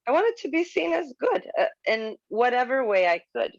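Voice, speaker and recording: A woman's voice: sits high, very animated, no room sound. Woman chatting openly, lots of restarts, kinda muffled dead-dry sound. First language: Swedish. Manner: candid, halting with frequent restarts and laughs. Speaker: guest. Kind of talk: casual video-call conversation.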